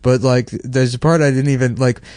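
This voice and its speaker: A man's voice: mid-range voice, steady tone, normal speed, a little mellow, no echo. A man co-hosting a comedy podcast, casually bantering in a blunt, defensive, profane way.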